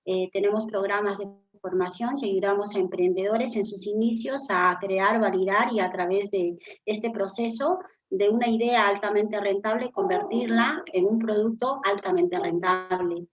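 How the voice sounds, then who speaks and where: A woman's voice: mid-range voice, steady tone, moderate pace, lo-fi sound. A middle-aged woman with a Peruvian accent, a business consultant telling her story earnestly as an interview guest. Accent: Peruvian Spanish. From Peru. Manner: earnest, reflective storytelling with frequent fillers. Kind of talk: interview conversation.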